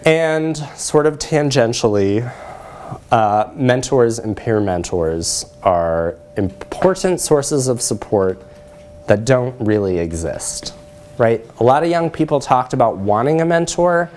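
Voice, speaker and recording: A man's voice: mid-range voice, lively intonation, slow, darkish tone, slight background noise. Man presenting research in a lecture room. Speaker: presenter, social work researcher. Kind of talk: lecture with audience discussion. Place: lecture room, at the front by a screen.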